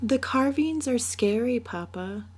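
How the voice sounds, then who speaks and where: A woman's voice: fairly high pitch, animated, unhurried, breathy edge, pitched above the performer's own voice. A woman voicing an eager, sweet and curious little girl in a storybook reading. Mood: uneasy. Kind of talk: storybook character voice.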